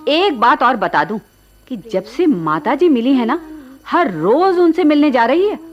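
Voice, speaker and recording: High, lively, coaxing housewife. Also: female voice, unhurried, muffled recording. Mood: matter-of-fact. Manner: chatty, coaxing. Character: practical, gossipy middle-aged wife and mother, quietly scheming. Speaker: radio actor. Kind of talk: radio drama dialogue.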